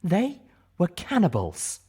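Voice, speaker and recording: A man performs a stern female unicorn in a storybook tale. She is righteous and scornful, making each phrase pointed. The pitch is lifted well above a normal male voice. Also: wildly swooping pitch, takes it slowly, mellow, breathy edge, full character voice.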